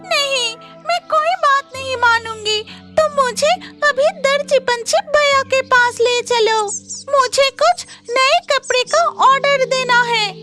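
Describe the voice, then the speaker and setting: A woman's voice: very high-pitched, takes it slowly, over loud music. A woman voicing a spoiled young daughter bird in a children's cartoon, vain and demanding. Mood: stubborn. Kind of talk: Hindi children's cartoon dialogue.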